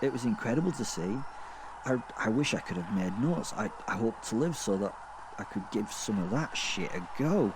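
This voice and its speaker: A man playing a gleefully morbid werewolf killer in a horror audio drama, telling of his fall through hell in a halting, sweary, conversational way. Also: on the low side, animated, noisy background, lightly acted. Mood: gleeful fascination. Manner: rambling, conversational, sweary, halting and self-correcting. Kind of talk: first-person horror audio drama monologue.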